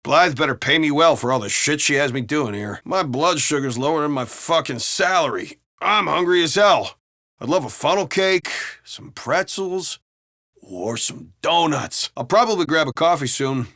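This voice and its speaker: A man's voice: on the high side, animated, moderate pace, pushed and bright. A man playing a jaded, grumbling, profane city beat cop in a video game. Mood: grumbling, weary. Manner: profane, dry, conversational grumbling. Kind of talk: video game character barks and banter.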